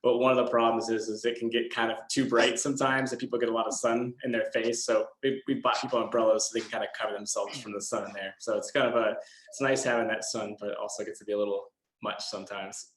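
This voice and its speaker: A man's voice: on the low side, flat delivery, quick pace. A man speaking casually as a guest answering children's questions, in a plain, hesitant, self-deprecating way. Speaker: guest speaker from an eco products company. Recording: echoey room sound.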